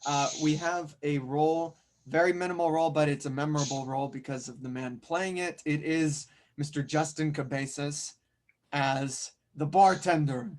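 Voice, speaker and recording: A man's voice: on the high side, fairly even intonation, normal speed. A man acting as host and narrator, introducing and reading stage directions at a script table read.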